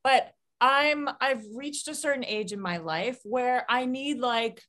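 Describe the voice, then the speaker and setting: A woman's voice: high-pitched, a little slow, fairly bright tone. A woman who is a podcast guest, overtired and wry, talking casually. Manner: wry, self-deprecating. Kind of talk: casual chat.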